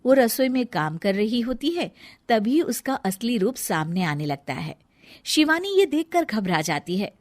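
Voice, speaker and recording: A woman's voice: fairly high pitch, very animated, unhurried. A woman narrating a scripted children's story as a storyteller.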